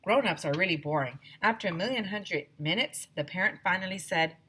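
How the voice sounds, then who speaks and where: A woman teacher reading a children's story aloud, expressively, slowing down for emphasis. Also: fairly low pitch, expressive, bright, forceful tone, slightly rough.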